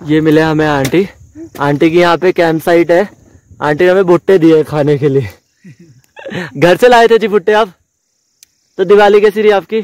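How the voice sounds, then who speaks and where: A young man talks eagerly to his viewers as he camps on an open mountain meadow. His voice sits high for a man, and he calls out to the people around him. It is picked up by an action camera out in the open. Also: normal speed, long pauses. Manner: excited, chatty, calls out to viewers. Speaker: travel vlogger. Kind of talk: casual camping vlog. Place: outdoors on a mountain meadow by a campfire.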